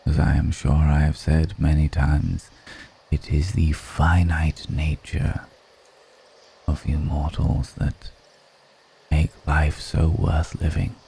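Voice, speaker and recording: A man playing an ancient, world-weary, seductive vampire in an intimate ASMR roleplay, speaking in a deliberate, brooding way with long pauses. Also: extremely low pitch, little pitch movement, vocal fry, warm tone, slight background noise, exaggerated voice. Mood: weary, wistful.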